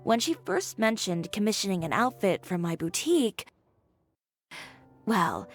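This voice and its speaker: An actress voicing a frank, confident young fashion designer in an anime-style video game, composed and dry. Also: female voice, mid-range voice, sing-song, measured pace. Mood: composed, assured. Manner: composed, dry, matter-of-fact. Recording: music playing behind the voice.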